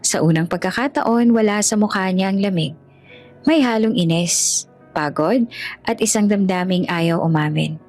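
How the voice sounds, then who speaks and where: A woman's voice: medium pitch, moderate pace, darkish tone. Filipino woman narrating dramatically over music. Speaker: storyteller.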